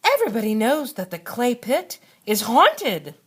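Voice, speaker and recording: Lady doing a little storybook girl, curious n a bit scared, questioning, pitched up. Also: huge pitch swings, unhurried, slightly rough, full character voice. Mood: nervous. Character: young daughter, hopeful, curious and a little scared. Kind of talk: children's storybook read-aloud.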